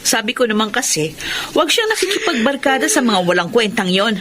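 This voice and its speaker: Actress as scolding aunt, high lively voice, music bed underneath. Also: female voice, fairly quick, a little hiss or hum. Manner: scolding, exasperated. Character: sharp-tongued aunt, scolding and anxious. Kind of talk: Filipino radio drama acting.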